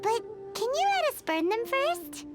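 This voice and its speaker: A tiny, perky fairy girl from a video game, with an extremely high, squeaky voice. She is blunt and childlike, and her pitch bounces around a lot over clearly audible music. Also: very animated, unhurried, heavily acted. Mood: skeptical. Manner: refers to self in third person, blunt. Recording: music behind the voice. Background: background music.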